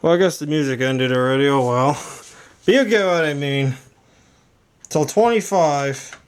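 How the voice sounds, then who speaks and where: A man's voice: a little high, normal speed. A man giving a casual, informal walkthrough demonstration as a video host, rambling in stop-start phrases. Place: home rooms and workshop. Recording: clearly noisy room with clicks.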